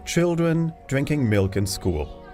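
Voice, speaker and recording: A man reading scripted documentary narration in a measured, polished way. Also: medium pitch, sing-song, warm tone, soft background music.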